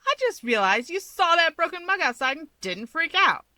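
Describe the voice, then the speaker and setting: A woman's voice: extremely high pitch, very animated, normal speed, bright, forceful tone, dry recording, pitched above the performer's own voice, exaggerated voice. A woman voicing a bold young female hero with a blunt, probing manner in a story reading. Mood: skeptical.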